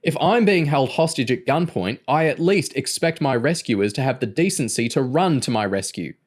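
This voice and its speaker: A man voicing a huge, bullying training instructor in an audiobook, loud, bawling and mocking. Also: moderate pace, close to an everyday voice. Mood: bawling, sneering. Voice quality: booming, bawling. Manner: sarcastic, taunting. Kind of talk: audiobook character voice.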